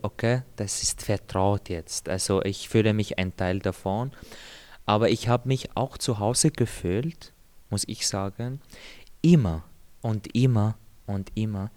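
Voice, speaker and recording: A young man from Syria, Arabic his first language, answers interview questions about his own life. His voice sits low and even. He talks in a calm, measured way, pausing and repeating words as he searches. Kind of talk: calm personal account.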